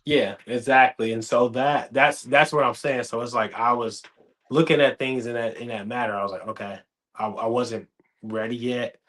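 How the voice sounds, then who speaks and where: A man in his thirties hosting a casual podcast chat, telling stories with lively acted-out quotes. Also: medium pitch, moderate pace. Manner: animated storytelling, acts out quoted dialogue. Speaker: podcast host.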